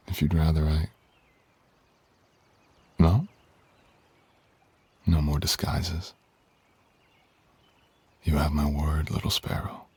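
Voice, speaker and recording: A man's voice: rumbling low, creaky, dark tone, slightly rough, no echo, full character voice. A man playing a courtly, teasing, tender dragon king in human form, speaking intimately as a lover in an ASMR roleplay. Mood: tender reassurance. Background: music.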